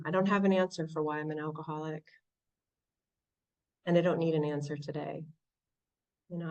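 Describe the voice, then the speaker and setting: A woman from Southern California with an American accent, sharing her story reflectively at a recovery meeting, often pausing and restarting. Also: low-pitched, far from the mic. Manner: reflective, halting, many restarts and fillers. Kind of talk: personal recovery share. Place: online meeting from home.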